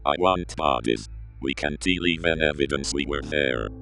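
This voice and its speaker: Man acting a very deep, ruthless villain boss. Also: unhurried, music in the background. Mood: menacing. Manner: curt, commanding. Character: ruthless male villain agent giving curt orders. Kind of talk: anime-style audio roleplay drama.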